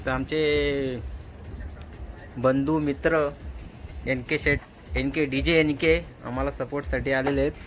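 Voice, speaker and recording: A man's voice: medium pitch, steady tone, a little slow, long pauses, soft background music. A man giving casual, informal vlog commentary, mixing in bits of English and Hindi. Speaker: vlogger host.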